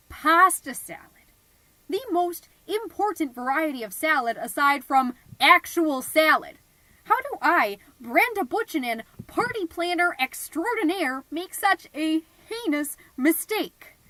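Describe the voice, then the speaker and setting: High-pitched, melodramatic suburban mom played by a woman. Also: pushed and bright, no room sound, full character voice, rough recording. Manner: theatrical, pompous, indignant. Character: melodramatic suburban mom, self-important party planner, easily exasperated. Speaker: podcast host character narrating. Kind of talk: comedic scripted monologue, storytelling.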